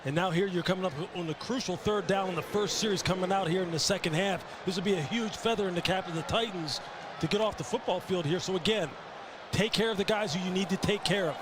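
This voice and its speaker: Emphatic male football analyst, high voice, live colour commentary over clear stadium noise. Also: fast talker. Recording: game broadcast with clear background noise. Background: stadium game noise.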